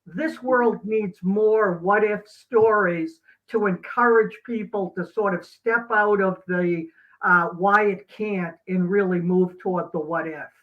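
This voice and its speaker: A middle-aged man talks earnestly as an interview guest. He is very slow and deliberate, breaking his thoughts with pauses and stressing key words. The sound is a little dull and lo-fi, like a call. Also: high-pitched. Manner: earnest, halting, emphatic pauses. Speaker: guest, doctor and teacher. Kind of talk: reflective interview talk.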